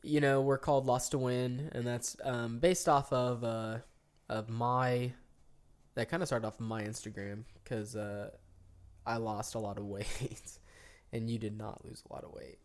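A man in his early thirties co-hosting a casual podcast, talking in long rambling stretches with frequent ums and restarts that jump into animated bursts. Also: mid-range voice, unhurried. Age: 30s. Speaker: podcast co-host. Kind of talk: casual chat.

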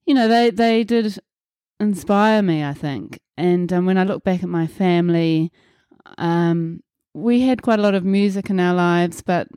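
Woman, New Zealand accent, forties, mellow low voice, reflective casual chat. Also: measured pace, smooth, no echo, studio quality. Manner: friendly, reflective, many fillers. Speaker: podcast host being interviewed. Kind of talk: casual conversational interview.

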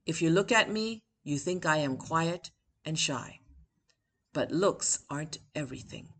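A woman who hosts her own podcast, reading one of her personal essays aloud in a measured, deliberate way with emphatic pauses. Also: deep voice, animated, fairly bright tone. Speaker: author and podcast host.

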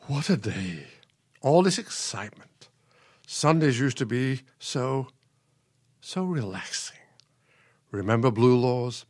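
Radio play, patient older husband: nostalgic, reflective, mid-pitched voice with expressive swings. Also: male voice, takes it slowly, long pauses. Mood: amiable, nostalgic. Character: patient, nostalgic elderly husband, soothing and wistful.

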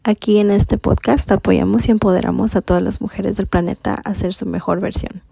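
A woman's voice: mid-range voice, fairly even intonation, a little fast, creaky, mellow, breathy edge, dry recording. A woman in her twenties from Mexico, hosting a podcast and chatting casually with many fillers. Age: 20s. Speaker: podcast host.

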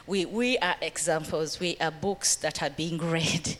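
Ugandan-raised woman with a low voice speaking at church. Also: a little slow. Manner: warm, earnest, laughs often, quotes scripture. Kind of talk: speaking to a church audience about family life.

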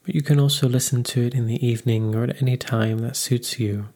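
Husky, even-toned man guiding meditation. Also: medium pitch. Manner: calm, unhurried, soothing instruction. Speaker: meditation guide. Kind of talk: guided meditation.

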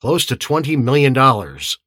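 A male narrator plays an angry man making accusations in an audiobook. The voice is husky and dry, insistent, with animated emphasis. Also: mid-range voice, moderate pace, no room sound, voice pushed up. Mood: accusing.

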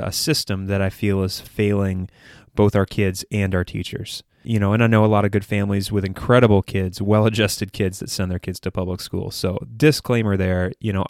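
A man's voice: fairly low pitch, a little mellow, dry recording, clean studio sound. An American man hosting a podcast, giving earnest, conversational solo commentary with hesitant phrasing. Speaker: podcast host.

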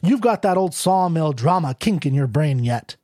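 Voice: mid-range voice, expressive, normal speed, dark tone, no echo, clean studio sound, voice pushed up, lightly acted. A reader voicing a proud, down-and-out male writer who talks bluntly and sardonically. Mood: derisive. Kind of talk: audiobook character reading.

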